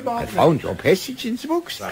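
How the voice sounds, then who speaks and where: A man playing a courteous, chatty German harbour official with a German accent in a radio play, formal yet expressive. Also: high-pitched, moderate pace, heavily acted. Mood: amused. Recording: crowd bustle behind the voice.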